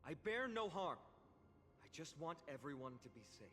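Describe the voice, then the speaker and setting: An actor playing a wary, earnest young warrior in a fantasy game, speaking in a formal, measured way. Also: male voice, on the high side, big pitch swings, pushed and bright. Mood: guarded, stern. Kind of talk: scripted fantasy game voice acting. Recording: long reverb with music behind.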